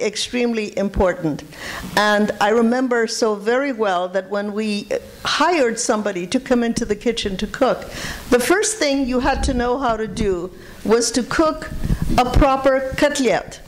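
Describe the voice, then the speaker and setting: A woman's voice: on the high side, moderate pace, a little hiss or hum, rough recording. An older woman from Shanghai giving an informal talk of reminiscences to an audience, telling anecdotes and repeating words for emphasis. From Shanghai, China. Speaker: guest speaker at a public food history talk.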